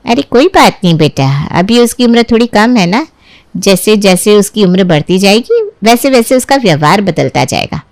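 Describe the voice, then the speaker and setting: A woman's voice: medium pitch, lively intonation, moderate pace, dry recording, clean studio sound, close to an everyday voice. A woman voicing an older mother in a family story, soothing her daughter with advice. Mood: reassuring. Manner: soothing, advising. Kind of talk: acted dialogue in a Hindi family storybook tale.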